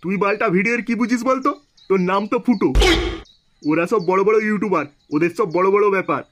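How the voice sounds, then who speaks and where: A man's voice: high-pitched, quick pace, fairly bright tone, dull audio, a little hiss or hum. A man voicing a crude, scornful buddy in a comedy cartoon, with a West Bengal accent. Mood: teasing, dismissive. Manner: crude, needling, dismissive.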